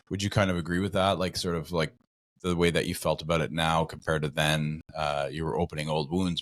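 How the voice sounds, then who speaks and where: A middle-aged man with an extremely low voice hosts a casual chat. He speaks tentatively, circling around his questions, on a close, dry recording. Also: no room sound. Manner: halting, tentative, rephrases questions. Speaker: podcast host.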